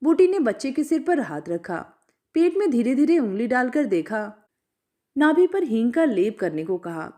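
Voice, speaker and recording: A woman storyteller narrating a literary story from a script in an expressive way. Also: a little high, normal speed.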